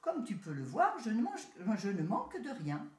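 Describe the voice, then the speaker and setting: A woman's voice: mid-range voice, wildly swooping pitch, moderate pace, a little mellow. A woman voicing a modest, simple male country rat in a storybook tale. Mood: humble. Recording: roomy echo.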